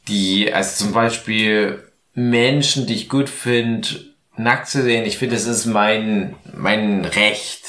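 Echoey, rambling tipsy man, low voice. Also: slow pace, bright, forceful tone. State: drunk. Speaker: podcast host. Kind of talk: casual comedic podcast chat. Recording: echoey, roomy sound.

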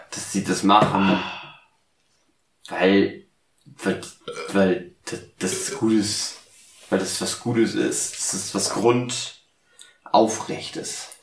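A man co-hosting a podcast, drunk, rambling casually in a halting, earnest way. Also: fairly low pitch, reverberant, far from the mic, a little hiss or hum. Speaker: podcast co-host.

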